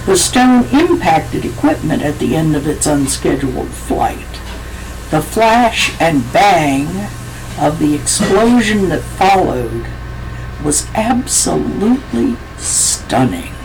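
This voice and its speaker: A woman's voice: low voice, big pitch swings, slow pace, noisy background. A woman reading her own story aloud in a plain, self-deprecating way, now and then stumbling over words. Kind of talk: unhurried story reading, then casual sign-off.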